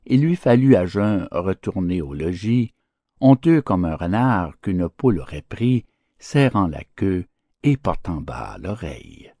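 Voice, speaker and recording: A man narrating storybook fables in a theatrical, declamatory and expressive style. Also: low-pitched, a little slow, dry recording. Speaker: audiobook narrator.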